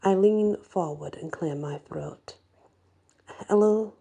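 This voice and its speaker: A woman reads a first-person fantasy story in an ASMR style, her voice soft, husky and breathy. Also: on the low side, slow pace. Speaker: audiobook-style story reader.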